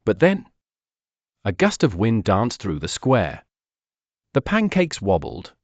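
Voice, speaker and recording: A man reading a scripted children's story aloud in an animated, expressive storytelling manner. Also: on the low side, slow pace, no room sound.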